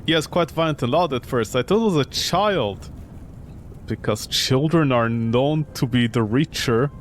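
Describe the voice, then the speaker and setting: A man voicing a calm, long-winded old immigrant woman, a former policewoman, in a game. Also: medium pitch, measured pace, slight background noise, lightly acted. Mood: calm, wistful. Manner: recounting her life at length.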